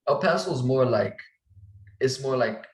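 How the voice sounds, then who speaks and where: A man's voice: medium pitch, lively intonation, unhurried, a little hiss or hum. A young man from southern Colorado hosting a podcast, laid-back and cursing casually in casual chat. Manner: laid-back, curses casually, short agreeing replies. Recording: echoey room.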